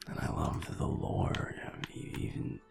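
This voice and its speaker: A man playing a sad, wistful young alpha werewolf in an intimate audio roleplay, talking haltingly in a low, rumbly half-whisper. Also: a little mellow, faint music behind, natural voice. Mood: wistful, fond. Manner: halting, hesitant, trailing off.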